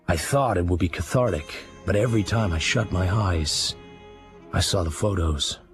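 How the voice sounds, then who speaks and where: An actor playing a haunted, obsessive middle-aged crime writer, narrating his dark memoir in terse confession with a gravelly, husky American voice. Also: sits low. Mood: brooding. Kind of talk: scripted first-person crime memoir narration.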